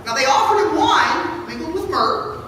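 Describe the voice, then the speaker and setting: A pastor, a man, gives a dramatic scripture reading with a theatrical, deliberate, projected delivery in a church. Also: extremely high pitch, very animated, pushed and bright. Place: large church interior. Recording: echoey church hall from far off, noisy.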